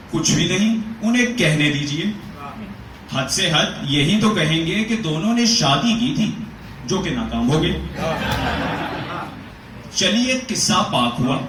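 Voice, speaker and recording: A man giving a measured, rhetorical dramatic reading of a literary prose essay, asking pointed questions as he goes. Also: a little high, fairly bright tone. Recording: echoey room, voice from a distance, faint noise.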